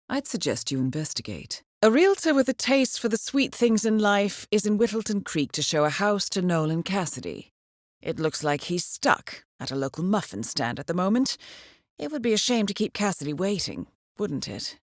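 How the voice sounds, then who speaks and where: An actress playing a cool, composed intelligence handler in a video game, briefing an assassin with articulate dry wit. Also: female voice, medium pitch, sing-song, normal speed, no echo, lightly acted. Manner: composed, dryly witty, articulate. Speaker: mission handler.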